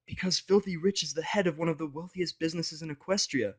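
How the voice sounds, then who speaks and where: A man's voice: fairly high pitch, quick pace, fairly bright tone, husky, voice pushed up. A man voicing a playful, cheeky young female pony in a fan fiction audio story, chatty and teasing. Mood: hushed, explaining.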